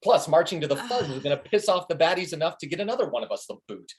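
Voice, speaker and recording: A woman's voice: low voice, very animated, fast, darkish tone. Woman playing brash, foul-mouthed schemer, wildly swooping delivery. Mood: agitated. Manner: sarcastic, profane, blustering. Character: Steve, brash, foul-mouthed, paranoid, grieving male schemer. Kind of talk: comic script table reading. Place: remote video call.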